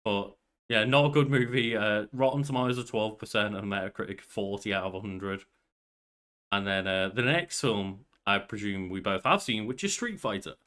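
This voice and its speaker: A man's voice: fairly low pitch, normal speed, fairly bright tone. A man in his thirties co-hosting a podcast, chatting casually and feeling for his words as he goes. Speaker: podcast co-host. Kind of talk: casual chat. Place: indoors at a desk, on a video call.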